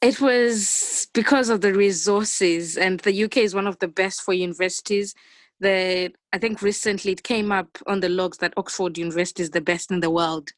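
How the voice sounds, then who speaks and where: A woman's voice: mid-range voice, fairly even intonation, moderate pace, no room sound. A young woman with a Zimbabwean accent, answering questions as a guest in a conversational interview over a video call. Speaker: interview guest, finance analyst.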